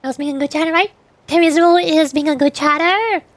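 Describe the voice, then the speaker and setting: A woman's voice: high-pitched, a little fast, dry recording. A woman streaming a game, chatting casually and playfully with her viewers. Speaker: VTuber streamer. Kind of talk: casual live-stream gameplay chat. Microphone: desk microphone.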